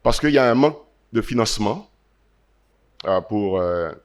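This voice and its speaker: A man from Haiti, a native French speaker with a Haitian French accent, speaking on a panel, earnest and halting. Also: fairly low pitch, expressive. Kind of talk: panel discussion answer.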